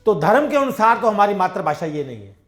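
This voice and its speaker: A man's voice: high voice, very animated, a little fast, fairly bright tone, faint music behind. A man giving a devotional sermon, telling everyday parables and acting out the dialogue in a conversational way. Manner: conversational storytelling, acts out dialogue in his own voice. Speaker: satsang preacher.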